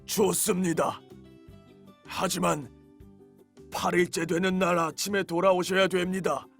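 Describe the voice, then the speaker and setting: An actor playing a big, gentle male beast who speaks formally and courteously in a children's storybook. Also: sits high, little pitch movement, a little slow, gravelly, faint music behind, heavily acted. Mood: solemn.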